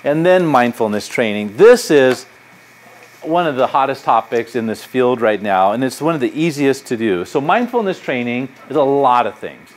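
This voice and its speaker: A man's voice: mid-range voice, big pitch swings, moderate pace, a little hiss or hum. A middle-aged man, a psychology professor, giving a public lecture in a university lecture hall with a conversational, anecdotal manner and lots of gesturing. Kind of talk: public lecture with audience questions.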